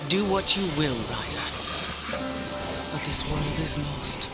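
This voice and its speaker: A proud, war-weary angel commander in a fantasy game. Her voice is low for a woman and formally solemn, resolute in every phrase. Loud music runs behind her. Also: expressive, takes it slowly. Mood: proud, bitter. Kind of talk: video game cinematic acting.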